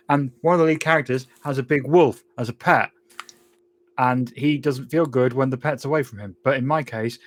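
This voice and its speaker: A man playing a tabletop roleplaying game, talking casually in and out of character in a halting, deadpan way. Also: a little high.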